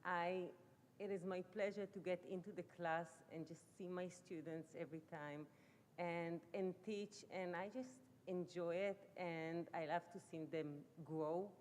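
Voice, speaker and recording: A woman's voice: steady tone, a little slow, a little mellow. A woman, a teacher, giving a modest thank-you in simple phrasing. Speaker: Hebrew teacher. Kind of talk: brief thank-you speech. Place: synagogue sanctuary. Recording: echoey hall with low rumble.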